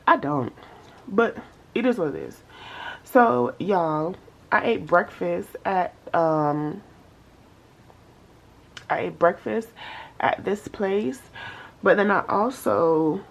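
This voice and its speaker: A young woman vlogs in a loose, slangy chat, calling her viewers y'all. Her nose sounds stuffy, and her voice sits low with a gravelly, husky edge. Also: animated, slow pace, pauses often, muffled recording. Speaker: budgeting vlogger. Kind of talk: casual chat to camera.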